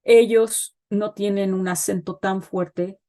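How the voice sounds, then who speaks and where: A middle-aged woman, a guest expert, explains child development in an interview, pausing often with fillers as she thinks. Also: medium pitch, steady tone, mellow. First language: Spanish. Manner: thoughtful, pauses with frequent fillers.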